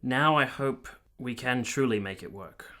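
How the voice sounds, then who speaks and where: An actor playing an earnest, tormented soldier of 1870s Italy in a radio drama, speaking in a formal, measured, literary manner. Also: male voice, medium pitch, sharp tone. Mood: subdued, tender. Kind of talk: dramatic radio play narration and dialogue.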